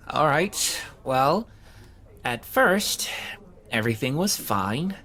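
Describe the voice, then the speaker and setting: A man's voice: mid-range voice, very animated, very slow, pushed and bright, full character voice. A man playing an awkward, hapless young man in an audio drama, talking in a hesitant, sheepish way full of fillers. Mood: sheepish, reluctant. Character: awkward, hapless young man who keeps getting fired. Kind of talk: conversational audio drama acting.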